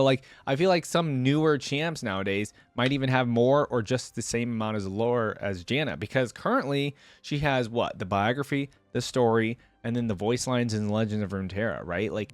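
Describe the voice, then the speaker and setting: A young man chats casually as a reaction host. His voice is mid-pitched and recorded very dry, and he rambles through his opinions. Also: dry recording. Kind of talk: casual chat, rambling commentary.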